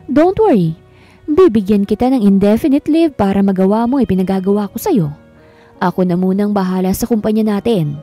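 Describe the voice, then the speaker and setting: A woman's voice: medium pitch, fairly quick, warm tone, faint music behind, close to an everyday voice. A woman voicing a wealthy older man who insistently orders his son around. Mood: commanding. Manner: authoritative, insistent.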